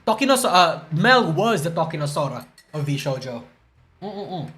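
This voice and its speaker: A man's voice: fairly high pitch, very animated, normal speed, fairly bright tone. A young man streaming live, rambling and swearing as he reacts to news, groggy from a hangover and stuttering. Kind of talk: casual livestream chat reacting to news.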